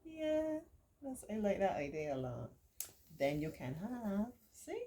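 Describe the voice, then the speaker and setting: A middle-aged woman hosting a children's storytime, reading aloud and adding reassuring, encouraging teaching asides with drawn-out emphasis. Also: medium pitch, very animated, mellow. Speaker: children's storytime host and remedial tutor.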